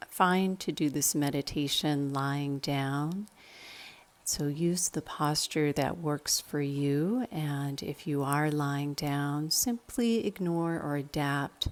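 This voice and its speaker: A woman leading a guided meditation in a calm, measured, instructional manner. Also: low-pitched.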